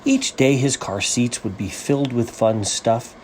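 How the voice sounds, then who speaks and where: A man reading a rhyming children's story as its author, with an animated, sing-song rhythmic delivery. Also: mid-range voice, unhurried, a little hiss or hum.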